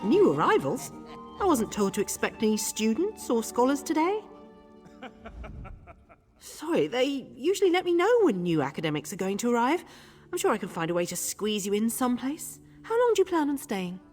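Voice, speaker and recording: An actress playing a helpful, chatty, slightly scatterbrained guesthouse keeper at an academy in a video game. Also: female voice, on the high side, sing-song, normal speed, darkish tone. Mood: obliging. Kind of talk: video game character acting. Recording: long hall reverb, light music.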